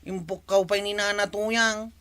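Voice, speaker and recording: A woman's voice: mid-range voice, level tone, unhurried, fairly bright tone, natural voice. A woman with an Ilocano accent playing a young male tricycle driver, proud and plaintively protesting, in a comedy drama. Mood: aggrieved. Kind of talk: barangay comedy drama acting.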